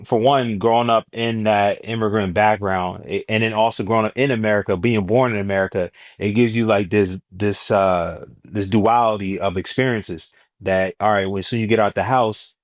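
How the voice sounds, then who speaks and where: A young man from New Jersey with a Jersey accent, a stand-up comedian talking casually as an interview guest in a relaxed, slangy way. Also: fairly low pitch, moderate pace, few pauses, no room sound. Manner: laid-back, slangy, animated when telling stories.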